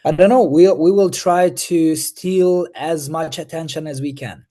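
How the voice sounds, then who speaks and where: A man's voice: a little high, steady tone, unhurried, warm tone. A young man from Moldova, answering interview questions in English with many fillers and restarts. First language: Romanian. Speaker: Eurovision artist.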